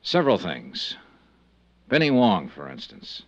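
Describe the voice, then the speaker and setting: An actor playing a tough, shrewd American insurance investigator in a 1950s radio drama, clipped and wry in manner. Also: male voice, sits low, huge pitch swings, unhurried, no echo, full character voice. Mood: firm, probing. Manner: clipped, hard-boiled, wry. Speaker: lead actor and narrator of a radio detective serial. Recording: muffled 1950s radio recording.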